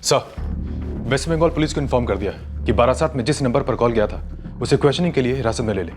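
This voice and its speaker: Man as methodical police investigator, measured, questioning, mid pitch, music under. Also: close to an everyday voice. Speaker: police officer.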